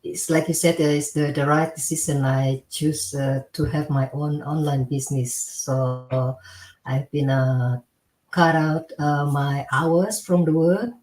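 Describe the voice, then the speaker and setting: Low-voiced woman on an echoey call. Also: fairly even intonation, a little slow, a little mellow. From Indonesia. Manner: hesitant, many fillers and restarts. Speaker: interview guest, caregiver. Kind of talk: casual interview chat.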